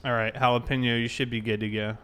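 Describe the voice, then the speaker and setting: Clear-voiced man chatting on a game stream. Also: medium pitch, flat delivery, fast, a bit bright. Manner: informal, thinking aloud while reading chat. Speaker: live streamer, host. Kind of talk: casual game livestream chat.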